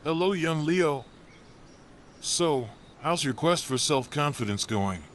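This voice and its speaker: A man voicing a wise, kindly old elephant in a children's storybook, speaking softly and encouragingly. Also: a little high, animated, slow pace. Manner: soft, gentle, encouraging.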